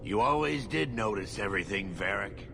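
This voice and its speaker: An actor playing a greedy, treacherous dwarf in a video game, talking in a smug, sneering way. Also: male voice, fairly low pitch, lively intonation, moderate pace, gravelly, over music. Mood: smug betrayal.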